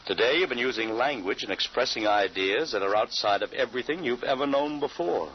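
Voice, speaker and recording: A man's voice: mid-range voice, lively intonation, brisk, close to an everyday voice. A man playing a doubting, bewildered American father in an old radio drama, with a hesitant, incredulous way of speaking. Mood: stern. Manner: hesitant, incredulous, repeats words. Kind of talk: 1940s-50s radio drama acting. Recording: muffled, noisy old radio recording.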